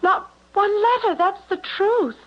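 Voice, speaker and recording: High-voiced flustered young sweetheart. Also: female voice, unhurried. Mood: defensive, dismayed. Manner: hesitant, breaks off and repeats. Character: flustered, torn young sweetheart, a small-town woman. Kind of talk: radio drama acting. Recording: muffled 1970s radio broadcast.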